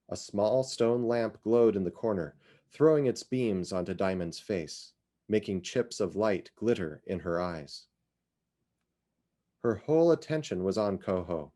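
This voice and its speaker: Guy w a lowish voice doing a dramatic reading of a novel, first person narration. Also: dark tone. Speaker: reader of fiction.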